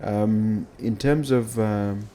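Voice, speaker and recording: A male podcast host with a Botswana accent speaks in a casual way, feeling his way from phrase to phrase. His voice sits fairly low and has a noticeable gravelly fry, with a soft, dark tone. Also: fairly even intonation, very slow, slight background noise. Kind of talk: casual podcast hosting and interviewing.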